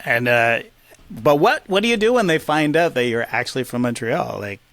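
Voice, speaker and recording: A man's voice: mid-range voice, animated, normal speed, fairly bright tone, dry recording. A middle-aged man chatting casually as an agreeable, amused podcast co-host. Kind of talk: casual chat.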